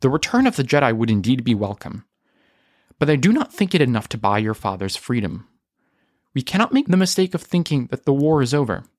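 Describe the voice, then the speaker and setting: A firm, principled stateswoman of a rebellion, acted by a man, speaking formally in an audio drama. Delivery is measured but expressive, recorded dry. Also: medium pitch, no room sound. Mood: measured, pragmatic. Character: composed, principled, firm female rebel leader. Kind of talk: audio drama character reading.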